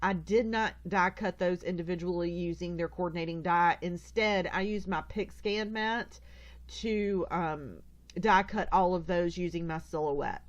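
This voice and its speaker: A woman's voice: fairly low pitch, a little slow, highs cut off. A woman with a stuffy, congested nose hosts a casual craft tutorial, chatty and rambling, often correcting herself as she goes.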